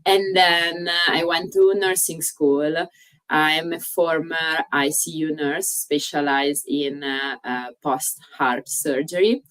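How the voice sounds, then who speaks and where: A low-voiced woman in her thirties speaks with an Italian accent, sounding a little distant from the microphone. She tells her story as an interview guest, stopping often on "uh" and phrasing things like a non-native speaker. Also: steady tone, fairly bright tone. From Italy. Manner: frequent "uh" pauses, slightly non-native grammar. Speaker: guest, fitness coach. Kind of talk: casual interview chat.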